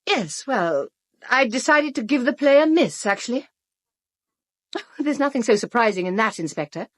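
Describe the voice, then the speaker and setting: An actress playing a haughty, defensive, sharp-tongued suspect in a radio play, with an arch, prickly manner. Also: female voice, on the high side, expressive, moderate pace, dry recording, studio quality. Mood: defiant.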